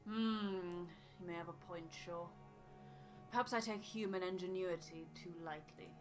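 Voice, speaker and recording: A woman voicing a scholarly, aloof male botanist from a video game, with precise, formal, measured phrasing. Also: lowish voice, steady tone, over music, close to an everyday voice. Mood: formal, musing. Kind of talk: voiced reading of video game dialogue.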